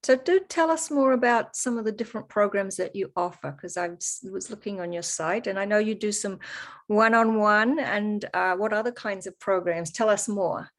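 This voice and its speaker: A woman's voice: mid-range voice, normal speed. New Zealand woman hosting an interview. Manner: laughs along, short encouraging replies. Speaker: host, coach. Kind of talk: interview questions.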